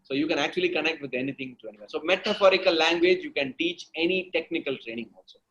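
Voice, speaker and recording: A man's voice: fairly high pitch, rapid-fire, fairly bright tone, highs cut off, slight background noise. A man with an Indian accent giving conversational answers as an interview guest, teaching emphatically, repeating phrases and checking in with the listener. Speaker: guest speaker, storytelling coach.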